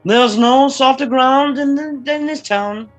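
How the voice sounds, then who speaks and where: A woman's voice: high voice, unhurried, soft background music. A woman playing a friendly, slightly drunk forty-year-old man, a small-town mayor who stammers and rambles in a screenplay table read. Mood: proud, friendly.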